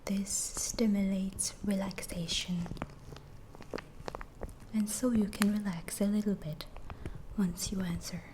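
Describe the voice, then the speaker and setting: A woman voicing a calm, reassuring alien abductor in an ASMR roleplay, speaking in a soft, breathy whisper with halting phrases. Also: mid-range voice, background noise, natural voice. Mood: calm, questioning.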